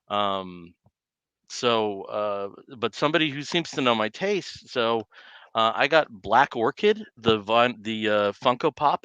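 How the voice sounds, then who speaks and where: A man's voice: lowish voice, pushed and bright, smooth, dry recording, dull audio. A middle-aged man hosting a podcast in casual chat, rambling with lots of fillers. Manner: chatty, rambling with many fillers. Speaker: podcast host.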